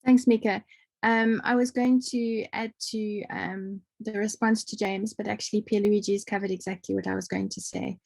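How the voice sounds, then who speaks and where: A woman's voice: medium pitch, little pitch movement, normal speed, vocal fry, darkish tone, no room sound. A woman on the project team speaking briefly and politely in an online meeting.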